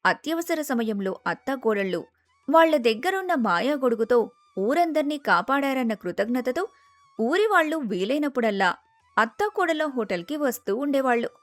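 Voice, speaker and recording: Fairly high-pitched woman storyteller. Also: animated, moderate pace, faint music behind. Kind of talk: scripted moral story narration.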